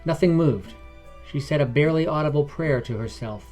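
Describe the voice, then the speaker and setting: A man's voice: fairly high pitch, brisk, a little mellow, soft background music. A man reading scripted fantasy narration as a storyteller.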